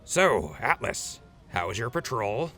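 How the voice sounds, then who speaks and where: A man's voice: mid-range voice, big pitch swings, unhurried, pushed and bright. A man playing an easygoing, friendly wasteland radio host in an audio drama, with a mild-mannered delivery. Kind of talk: post-apocalyptic radio drama acting. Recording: music behind the voice.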